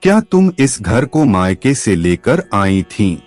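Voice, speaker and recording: A haughty older mother-in-law acted out by a male narrator taunts her daughter-in-law in a family storybook drama. The delivery is slow and scornful, with wide swings of pitch over music. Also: sing-song, darkish tone, heavily acted. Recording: music behind the voice.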